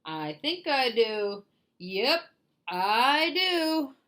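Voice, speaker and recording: A big dopey storybook bear, played by a woman, talking very slowly and hesitantly. He is good-natured and dim, drawing out his words with a lively rise and fall. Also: a little high, pitched above the performer's own voice, heavily acted. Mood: dopey, eager. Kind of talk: children's storybook character voice.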